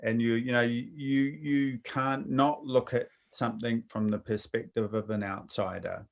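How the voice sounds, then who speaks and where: A man's voice: mid-range voice, fairly even intonation, measured pace. Reflective man in casual talk. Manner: rambling, reflective. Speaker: interviewer. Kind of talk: casual conversation. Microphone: video call.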